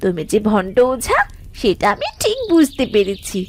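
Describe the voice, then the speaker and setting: A woman's voice: mid-range voice, wildly swooping pitch, normal speed. A woman voicing a feisty invisible young wife in a cartoon, with a scolding, taunting, theatrical manner. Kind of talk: animated cartoon voice acting.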